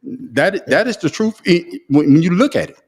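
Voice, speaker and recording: A man's voice: high voice, lively intonation, normal speed, breathy edge. A man hosting a casual podcast, arguing emphatically and restarting his sentences often. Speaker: podcast host. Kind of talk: heated casual debate.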